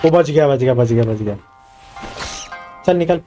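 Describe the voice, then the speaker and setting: Rapid, excitable Indian male game streamer. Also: medium pitch, music in the background. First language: Hindi. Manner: excitable, repeats words in bursts. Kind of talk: casual live gaming commentary.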